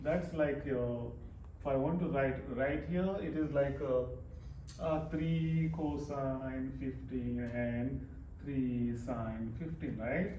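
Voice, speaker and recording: A man's voice: medium pitch, slow, dark tone, muffled recording. A man teaching math informally, working through a problem step by step as he writes and often repeating his phrases. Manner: explains step by step while writing, repeating phrases. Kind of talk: informal worked-example math lesson. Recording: roomy echo, distant mic, faint noise.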